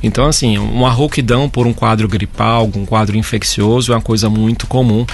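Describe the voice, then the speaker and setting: A man speaking as a doctor guest on a radio talk show, calmly explaining health questions. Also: on the low side, brisk, gravelly, a little hiss or hum. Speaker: head and neck doctor guest. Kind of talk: explaining medical topics on air.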